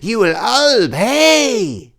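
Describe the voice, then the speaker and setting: Arrogant devil prince, dark fantasy, very slow booming proclamations, wrathful. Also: male voice, big pitch swings, gravelly, full character voice.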